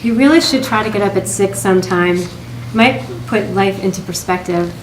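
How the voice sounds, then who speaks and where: A woman's voice: medium pitch, moderate pace, natural voice. A woman reads as a weary 1950s working wife in a stage play. The manner is dry, curt and reproachful. The room echoes, with faint noise behind. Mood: irritated. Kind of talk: amateur stage play table read.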